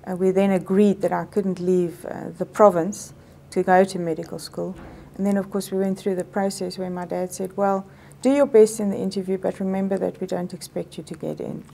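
A middle-aged South African woman whose first language is Afrikaans, a medical professor giving thoughtful, measured answers in an indoor interview. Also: mid-range voice, fairly even intonation, creaky, mellow. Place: office interview set, indoors.

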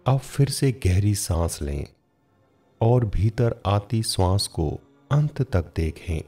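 A man narrating a scripted guided meditation in a measured, instructional manner with frequent pauses. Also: fairly low pitch, expressive, dark tone, breathy edge, faint music behind.

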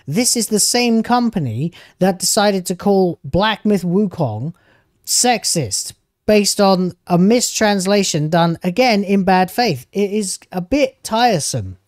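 A man's voice: high-pitched, a little mellow, no echo, studio quality. A man in his thirties streaming from home on a headset mic, reacting to video clips in casual, argumentative commentary. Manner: argumentative, talks back at the clips.

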